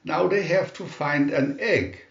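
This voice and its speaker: A man narrating a scripted children's storybook in a deliberate, expressive storytelling manner. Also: fairly high pitch, vocal fry, roomy sound, highs cut off.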